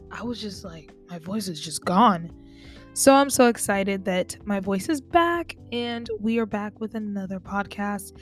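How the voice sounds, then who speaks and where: Woman host, casual and chatty, speaking to listeners over soft music. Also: medium pitch. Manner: chatty, conversational, addresses listeners directly. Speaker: podcast host. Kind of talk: casual faith talk.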